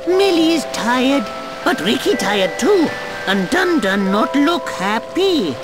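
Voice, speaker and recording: A little furry video game creature with a high, very lively voice. It is cheerful, boastful and fatherly, and speaks of itself in simple broken sentences. Music plays clearly behind it. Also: takes it slowly, heavily acted. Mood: earnest, weary. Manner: simple broken grammar, speaks of self in third person. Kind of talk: video game cutscene voice acting.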